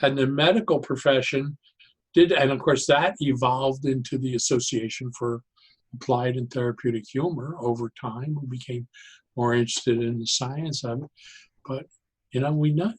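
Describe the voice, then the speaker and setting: A man explaining ideas conversationally as an interview guest, in an animated, halting way, often repeating and interrupting himself. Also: mid-range voice, slightly rough, rough recording. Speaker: podcast guest, psychologist turned joy advocate.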